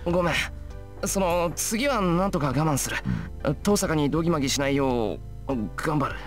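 The hesitant, apologetic young hero of an anime visual novel, earnest, flustered and very quick-spoken, acted by a man. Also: on the high side, animated, soft background music, natural voice.

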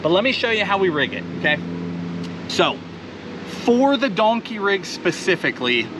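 A man's voice: sits high, very animated, moderate pace, background noise. A man in his thirties, an enthusiastic fishing host explaining gear step by step while sitting on a boat on a lake outdoors. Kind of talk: instructional fishing talk to camera.

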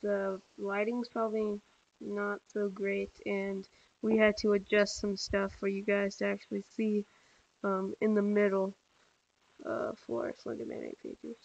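A man's voice: high voice, monotone, unhurried, creaky, highs cut off. A man with a voice he calls girly, making casual offhand asides to viewers during a gameplay video. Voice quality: voice he says sounds girly.

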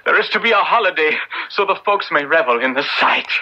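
An earnest young minister of colonial times, urgent and pleading. The voice is very sharp and forceful, in a radio play with a dull, old recording. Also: male voice, fairly high pitch, animated, a little fast. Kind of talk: radio drama acting.

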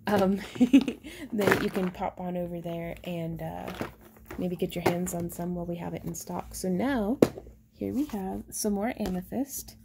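A chatty woman, showing handmade stones in a casual shop update, talking softly and excitedly over the camera. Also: on the low side, vocal fry, background noise. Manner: chatty and excitable, drops into soft whispers over pretty stones. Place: indoors at a craft table.

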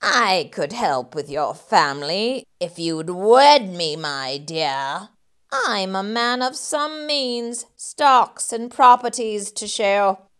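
A woman voicing a sly, cold-hearted male rat landlord in a storybook read-aloud, with an oily, falsely sad manner. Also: medium pitch. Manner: oily, mock-sad, then shouting.

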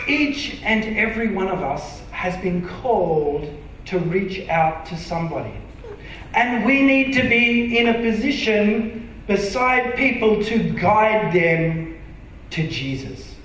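An Australian man preaching a sermon from a church stage, earnest and emphatic, sometimes dropping to a soft, intimate tone. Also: sits high, slight background noise. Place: large dark church auditorium stage. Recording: very echoey hall from far off.

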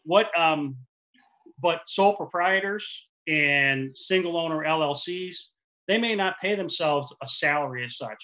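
Man in his forties, lender giving a webinar over a headset; forceful bright tone, restarts often, lo-fi call audio. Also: a little high, measured pace. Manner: explanatory, with frequent restarts. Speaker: commercial lender. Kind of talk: webinar presentation. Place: office with printed backdrop. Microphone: headset boom mic on a video call.

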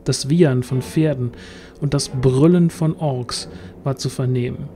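Man, scripted fantasy narration, dark soft-edged timbre, faint music behind. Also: mid-range voice, steady tone, a little slow. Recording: music underneath.

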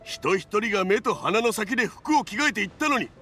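A man voicing a brash, exasperated investigator, blunt, informal and expressive, in a Japanese video game. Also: high voice, fairly quick.

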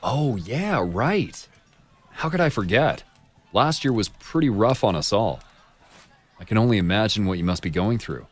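A man's voice: on the low side, moderate pace. A man acting a friendly, sympathetic neighbour in a video game, with a hearty, welcoming manner. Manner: animated, hearty.

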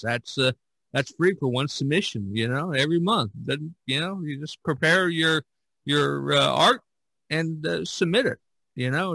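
A man's voice: medium pitch, creaky, fairly bright tone, no echo. A man hosting a casual podcast discussion as a painter, talking in a rambling, chatty way.

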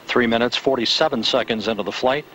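A man giving live, measured launch commentary in a control-center broadcast, stating altitude, speed and timing. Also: mid-range voice. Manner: steady, measured, detail-heavy. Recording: noisy broadcast audio with rocket roar.

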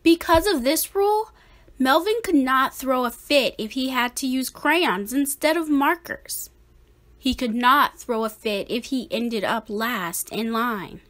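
A woman reading a children's picture book aloud in a deliberate, expressive manner. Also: high voice. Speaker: storybook reader.

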